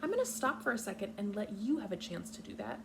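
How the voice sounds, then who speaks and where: Creaky-voiced woman teaching young children. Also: medium pitch, sing-song, noisy background. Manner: patient, instructional, simple deliberate phrasing. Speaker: kindergarten teacher reading a picture book aloud. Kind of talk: read-aloud lesson for young children.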